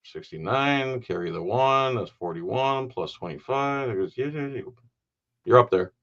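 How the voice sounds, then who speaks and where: A man in his forties, a guest on a casual call, cracking jokes with deadpan asides. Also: medium pitch, measured pace, lo-fi sound. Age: 40s. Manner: joking, wisecracking, deadpan asides. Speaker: guest commentator. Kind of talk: casual joking chat.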